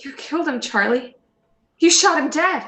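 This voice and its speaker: A woman's voice: sits high, lively intonation, moderate pace, sharp tone, exaggerated voice. A woman playing an alarmed, accusing neighbour in a radio play, speaking urgently and insistently in an echoey room. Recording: roomy, echoey home recording.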